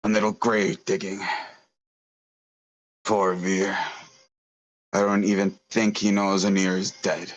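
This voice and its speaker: Man acting as crime boss, low pitch, gruff, bitter, rambling, menacing, self-pitying, table-read drama. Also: level tone, slow, pauses often, muffled recording. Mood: musing.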